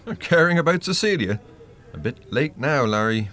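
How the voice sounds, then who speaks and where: An actor playing a wry, unsparing spirit guide in a radio play, with a measured, teasing, blunt manner. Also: male voice, mid-range voice, very animated, a bit bright. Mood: knowing, stern.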